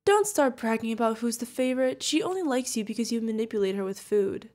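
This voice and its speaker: A woman's voice: fairly high pitch, lively intonation, fast talker, darkish tone, close to an everyday voice. A woman playing a protective older witch mentor, fond, teasing and stern, in an ASMR fantasy roleplay. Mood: playful, wry. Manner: affectionate teasing, mock-exasperated. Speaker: voice actor.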